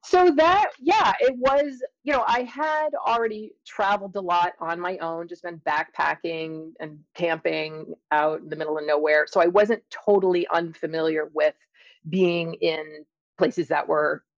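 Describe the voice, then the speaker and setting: A middle-aged woman speaking as an interview guest, explaining and telling her experiences in a thoughtful, halting way with drawn-out emphasis. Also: fairly low pitch, steady tone, no echo, muffled recording. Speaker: interview guest, scientist and author. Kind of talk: conversational interview, personal storytelling and explanation.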